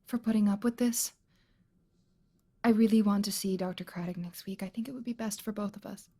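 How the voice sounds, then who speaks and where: A woman's voice: medium pitch, fairly even intonation, fairly quick, warm tone, breathy edge. A woman playing a frightened, exhausted young mother in an audio drama, with a fragile, naturalistic delivery. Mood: tired, subdued.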